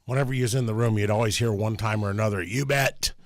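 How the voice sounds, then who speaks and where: A man with a deep, plainspoken voice speaks as a racetrack promoter, folksy in his grammar. His voice sits low, and the studio sound is close and dry with no room around it. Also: quick pace, dry recording. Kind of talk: casual radio chat.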